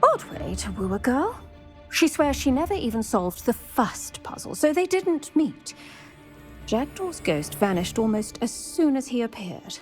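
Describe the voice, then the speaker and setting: An actor voicing an older retired Auror woman who speaks briskly and formally in scripted game dialogue. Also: fairly high pitch, very animated, soft background music. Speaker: retired Auror guiding a student.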